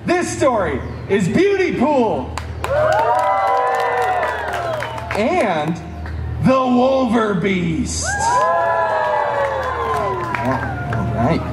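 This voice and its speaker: A man playing a wisecracking, theatrical masked antihero who tells fairy tales to a live crowd with sarcastic asides and dramatic pauses. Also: high voice, huge pitch swings, distant mic, very noisy, full character voice. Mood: wry, teasing. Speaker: theme park character performer. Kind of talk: live interactive comedic storytelling. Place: outdoor theme park stage, recorded from audience. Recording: roomy amplified outdoor show, loud music, crowd.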